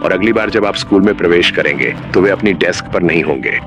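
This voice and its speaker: A man playing a grave, menacing emergency announcer in a scripted horror broadcast, speaking in a measured way. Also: low-pitched, music in the background, close to an everyday voice. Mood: grave, ominous. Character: ominous male emergency broadcast announcer warning of uncanny teachers. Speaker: narrator.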